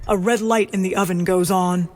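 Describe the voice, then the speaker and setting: A woman narrating a scripted horror audio drama in measured, short present-tense statements. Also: medium pitch, steady tone, background noise. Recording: loud music under the voice. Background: loud music.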